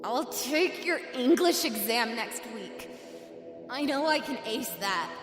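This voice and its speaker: A woman's voice: on the high side, expressive, slow. A woman playing bickering teenage twin sisters in an audio drama, sarcastic and exasperated, in a roomy reverberant recording. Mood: tense bargaining. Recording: roomy long reverb under loud music.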